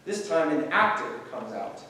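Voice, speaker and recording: A man narrates a script with stage directions. His voice sits a little high for a man. He is heard from some distance in a strongly reverberant hall. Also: very animated, a little slow, sharp tone. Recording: echoey hall, distant mic.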